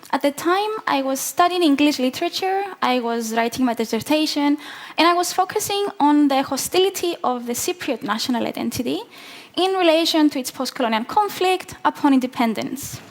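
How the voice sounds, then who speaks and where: Bright high Cypriot woman presenter. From southern Cyprus. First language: Greek. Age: 20s. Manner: clear, explanatory delivery. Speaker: conference speaker. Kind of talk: prepared conference talk. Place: large hall with audience. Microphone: headset mic.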